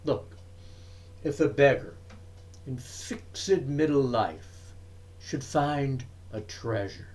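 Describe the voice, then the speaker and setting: A man giving a dramatic recitation of poetry, with deliberate, emphatic phrasing and frequent pauses. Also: medium pitch, a little mellow. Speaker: reader of poetry.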